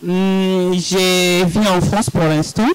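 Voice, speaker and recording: A woman's voice: on the low side, fairly even intonation, takes it slowly, clear, resonant tone, low-quality recording. A woman guest introducing herself casually and lightly.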